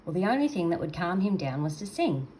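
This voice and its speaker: A woman's voice: on the low side, very animated, fast talker, darkish tone, low-quality recording. An older woman storyteller reading a children's story aloud expressively, in a grandmotherly manner. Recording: noticeable background noise.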